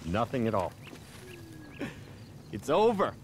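An actor playing a spoiled, foul-mouthed young rich son with a halting, swaggering manner in a game cutscene. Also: male voice, medium pitch, huge pitch swings, a little hiss or hum. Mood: dismissive. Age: young adult. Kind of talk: video game cutscene acting.

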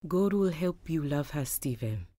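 An actress playing a tender, devout and concerned wife in a radio drama. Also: female voice, sits low, expressive, measured pace, dark tone, dry recording. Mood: devout, reassuring. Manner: tender, solicitous.

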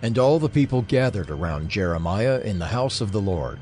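A male narrator reads scripture in a somewhat low voice. Soft music runs beneath his scripted storytelling. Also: animated, normal speed.

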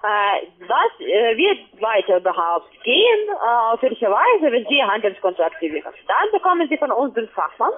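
High, lively woman on phone. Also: moderate pace, hardly any pauses. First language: not German. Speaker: call centre sales agent. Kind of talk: halting sales pitch. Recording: narrow, tinny phone line.

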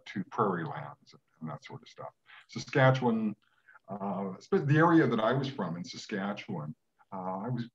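A man in his sixties from Western Canada, speaking in a measured way with many fillers on a video call from home. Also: deep voice. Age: 60s. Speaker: guest. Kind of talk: casual video call conversation. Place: home room. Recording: dull lo-fi webcam audio.

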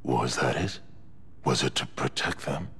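A man playing a huge, brooding beast prince in a game, with a husky growl and a heavy, anguished manner. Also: very deep voice, a little slow, bright, forceful tone, exaggerated voice. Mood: remorseful.

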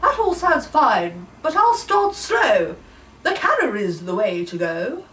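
A woman voicing an eager, flustered male farmer who yelps and pleads loudly. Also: high-pitched, very animated, unhurried, distant mic, voice pushed up, full character voice. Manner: yelping, shouty, breathless pleas.

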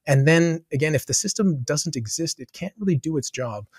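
A man in his forties, a podcast guest, talking quickly and animatedly in a conversational interview with frequent asides, over a studio mic on a video call. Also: on the high side, lively intonation, breathy edge, dry recording. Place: small home studio with a plain wall. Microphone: studio mic with headphones, on a video call.

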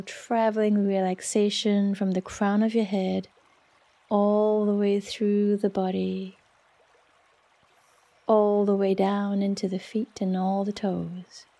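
Slow, mellow woman guiding a relaxation meditation. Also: medium pitch, vocal fry. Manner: soft, soothing, unhurried with long pauses. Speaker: yoga nidra meditation guide.

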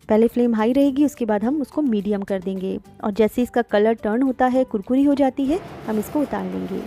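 Mellow-voiced woman hosting a home cooking lesson. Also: on the high side. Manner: explanatory, guides viewer step by step. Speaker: cooking channel host. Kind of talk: cooking tutorial narration. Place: home kitchen.

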